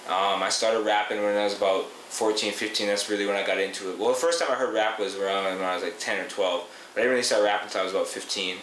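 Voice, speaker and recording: A man's voice: on the low side, fairly even intonation, quick pace, hardly any pauses, a bit bright. A man in his twenties who grew up in Canada, speaking earnestly as a rapper in an interview. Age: 20s. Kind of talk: casual interview talk. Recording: echoey room, faint noise.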